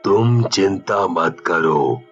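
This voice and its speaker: Reassuring holy man for a ghost tale, measured, muffled recording, music behind; male actor. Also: low-pitched, lively intonation, full character voice.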